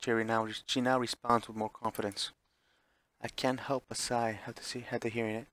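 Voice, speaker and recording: A man's voice: mid-range voice, level tone, fairly quick, a bit bright. A man streaming a visual novel, chatting casually as he plays and reading the text aloud, sometimes stumbling and correcting himself.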